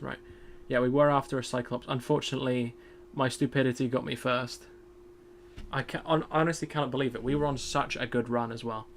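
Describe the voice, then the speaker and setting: Guy chatting thru a survival game, loud voice, bit of gravel in it, average pitch. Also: a little hiss or hum. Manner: chatty, self-deprecating, thinks aloud. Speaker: streamer. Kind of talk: casual gameplay commentary.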